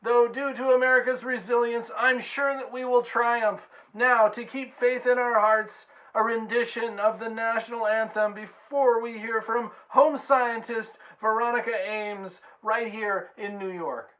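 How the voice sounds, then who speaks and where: A woman's voice: a little high, steady tone, unhurried, few pauses, a bit bright, rough recording. A woman playing a solemn, patriotic 1940s war newscaster reading a scripted radio report. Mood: solemn, resolute.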